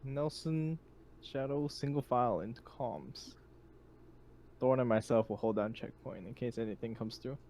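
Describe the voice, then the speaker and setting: A man's voice: medium pitch, normal speed, dark tone, a little hiss or hum. A man playing a tabletop roleplaying game, bantering casually in a wry, joking manner.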